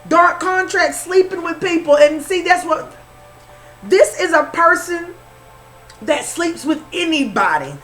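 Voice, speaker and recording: A woman's voice: very high voice, expressive, measured pace, fairly bright tone, soft background music. A woman with a Southern accent doing an informal tarot reading, repeating herself and calling the listener honey. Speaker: spiritual reader.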